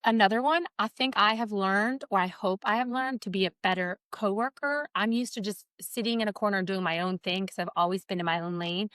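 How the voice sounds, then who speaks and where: Fields. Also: female voice, mid-range voice, a little fast, few pauses, fairly bright tone, smooth, dry recording. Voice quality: nasal. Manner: chatty, joking, self-mocking. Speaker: podcast co-host. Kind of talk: casual podcast chat.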